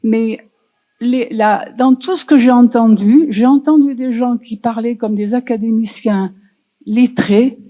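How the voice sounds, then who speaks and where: A woman's voice: fairly high pitch, unhurried, mellow, low-quality recording. A woman in the audience speaks passionately about language, often searching for her words. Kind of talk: festival panel discussion.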